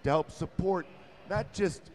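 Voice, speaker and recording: A man's voice: high-pitched, a little slow. A man co-hosting a talk show, asking long, rambling questions in a chatty way. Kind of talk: casual interview talk.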